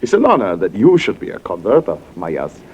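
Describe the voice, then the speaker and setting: A man's voice: low voice, wildly swooping pitch, brisk, a little mellow, slight background noise. An actor playing a suave, oily stage mystic and con man in a 1940s radio drama, formal and courtly. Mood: suave, amused. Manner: courtly, formal, smooth-talking. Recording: dull old radio recording.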